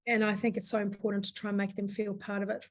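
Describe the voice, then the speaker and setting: A woman with a hoarse voice talks as a guest founder in a relaxed interview. She rambles, circles back and restarts her sentences often, at a middling pitch. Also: quick pace. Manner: rambling, many fillers and restarts. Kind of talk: casual interview chat.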